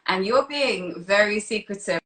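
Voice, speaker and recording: Bright-voiced woman interviewer in an echoey room. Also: mid-range voice, animated, a little slow. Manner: informal, chatty questioning. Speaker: interviewer, host. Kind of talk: casual interview chat. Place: live-streamed video call.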